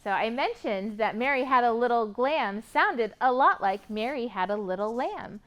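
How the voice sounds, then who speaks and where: A woman's voice: a little high, animated, normal speed, a bit bright. A woman hosting a library storytime for young children, reading expressively and prompting them with encouraging questions. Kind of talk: picture-book reading and sing-along for young children.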